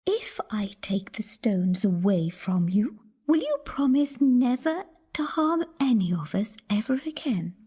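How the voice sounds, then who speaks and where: Woman as a soft, breathy mother goat. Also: on the high side, sing-song, exaggerated voice. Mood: cautious, firm. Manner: hushed, breathy, measured. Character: gentle but firm mother nanny goat. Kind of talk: children's cartoon story voice acting.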